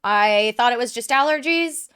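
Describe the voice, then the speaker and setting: A woman's voice: a little high, lively intonation, moderate pace, fairly bright tone, smooth. Congested woman chatting over gameplay. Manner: chatty, rambling, lots of fillers. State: congested from a cold. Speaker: let's play streamer. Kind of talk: casual gameplay commentary.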